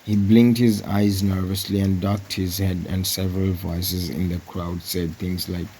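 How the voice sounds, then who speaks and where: A man's voice: low-pitched, flat delivery, a little slow, mellow, a little hiss or hum, rough recording. A man reading an audiobook story as scripted narration. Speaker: audiobook reader.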